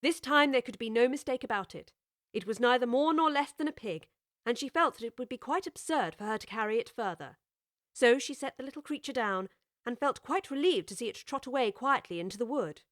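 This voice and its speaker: A woman narrating a children's classic in a measured, clear storytelling manner, recorded on a studio microphone. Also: on the high side, animated, dry recording.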